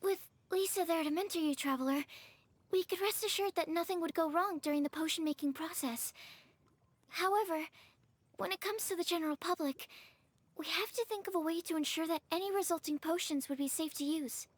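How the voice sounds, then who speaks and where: A woman's voice: very high voice, fairly quick, no echo. An actress playing an earnest, polite young woman alchemy scholar in a video game cutscene. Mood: cautious.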